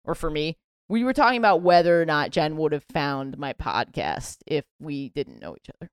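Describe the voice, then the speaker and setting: A woman from New York with a low voice, a podcast host telling an animated story and acting out quotes. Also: normal speed, clear, resonant tone, no room sound, studio quality. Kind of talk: casual storytelling chat.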